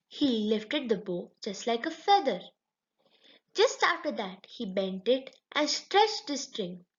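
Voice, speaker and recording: A woman tells a children's story in an expressive read-along style. Her voice sits fairly high and moves with lively swings in pitch. The recording is a little dull and lo-fi.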